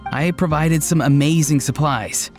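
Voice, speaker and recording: Male actor as a game warrior, young, higher-pitched, earnest, literal, stiffly formal, light music behind. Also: unhurried, natural voice. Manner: formal, stiff, matter-of-fact. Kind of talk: video game voice acting.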